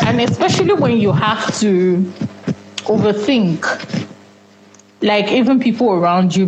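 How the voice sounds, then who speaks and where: A young woman with a lowish voice recalls her own story for an interviewer, thoughtful and in no rush. Her phrases drift off and begin again as she works things out aloud. A faint hiss sits under the recording. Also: reverberant, dull audio. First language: Yoruba. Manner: reflective, unhurried, trailing off and restarting. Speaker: interview guest. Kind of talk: candid personal story in an interview.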